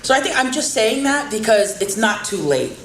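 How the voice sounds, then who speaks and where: A woman's voice: a little high, very animated, moderate pace, a bit bright. A woman hosting a podcast, talking earnestly and encouragingly about faith and family. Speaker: podcast host. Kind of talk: casual interview chat. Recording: roomy with faint background noise.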